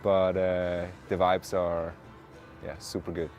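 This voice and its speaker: Skier dude from south tyrol, lowish voice, guitar music under him. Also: male voice, monotone, slow, darkish tone. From South Tyrol, Italy. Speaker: athlete. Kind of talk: documentary interview.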